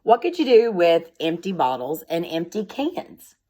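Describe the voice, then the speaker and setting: A woman's voice: fairly low pitch, big pitch swings, measured pace, mellow. A woman speaking as a schoolteacher, reading aloud and explaining things in simple, instructive words addressed directly to young children.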